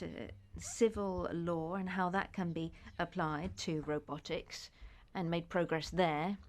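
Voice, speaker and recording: A woman interpreting a conference speech into English, measured and often pausing with hesitations. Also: fairly low pitch.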